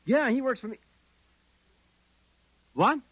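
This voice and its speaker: A brusque American private investigator in a 1950s radio drama. The voice is husky and swings widely in pitch, with a slangy, commanding delivery in a dry, close sound. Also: male voice, animated, slow, no room sound, muffled recording, full character voice. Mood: businesslike. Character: brusque, wary male private investigator boss.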